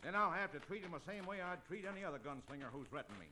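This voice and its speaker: Marshal for a radio western: stern father, gruff frontier talk, forceful, higher-set voice, noisy vintage sound. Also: animated, rapid-fire. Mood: indignant. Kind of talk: old radio western acting.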